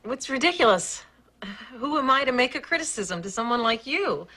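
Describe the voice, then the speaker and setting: A prim, volatile obsessive fan. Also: female voice, sits high, very animated, moderate pace, bright, forceful tone, full character voice. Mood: prim, coy. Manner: prim and sugary, refuses to swear, snaps into screaming rage. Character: obsessive volatile middle-aged woman fan, prim and menacing. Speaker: film character. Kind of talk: film drama acting.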